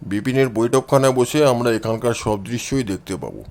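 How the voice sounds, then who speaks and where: A man's voice: mid-range voice, fairly quick, darkish tone, pitched below the performer's own voice, close to an everyday voice. A man voicing a cool, assured amateur detective who gives orders in an audio storybook. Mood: brisk, decisive.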